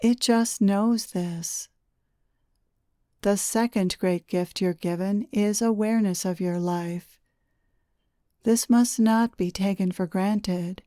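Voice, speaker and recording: Slow, mellow woman guiding a meditation. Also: medium pitch, fairly even intonation, dry recording, studio quality. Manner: slow, gentle, soothing instruction with deliberate pauses. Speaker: guided meditation leader, channeler. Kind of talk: guided meditation narration.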